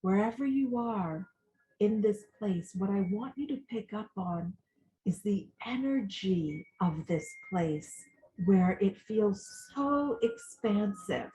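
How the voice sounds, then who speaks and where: A woman coach leading a guided visualization meditation, giving soothing, gentle instructions with long pauses. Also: medium pitch, animated, rough recording.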